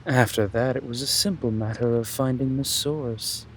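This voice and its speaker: A man playing a haughty, calculating young prince in a fantasy audio drama, speaking in a pompous, deliberate, menacing way. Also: medium pitch, slight background noise, lightly acted, low-quality recording. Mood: cold, condescending. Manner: pompous, deliberate, drawn-out delivery. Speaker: voice actor.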